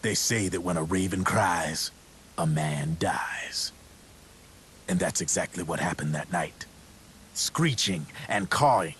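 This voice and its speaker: Game role: ominous, sardonic military informant, very low voice, deliberate dramatic delivery, faint hiss. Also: male voice, fairly bright tone. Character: male military contact recounting a grim war backstory. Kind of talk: dramatic video game storytelling.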